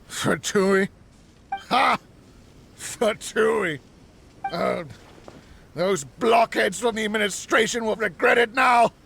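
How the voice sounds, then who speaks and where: An actor playing a drunken, deranged researcher in a video game, resentful and weepy, stammering in erratic outbursts. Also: male voice, sits high, takes it slowly, gravelly, long pauses, a bit bright, a little hiss or hum, exaggerated voice. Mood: bitter raving. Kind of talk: video game cutscene acting.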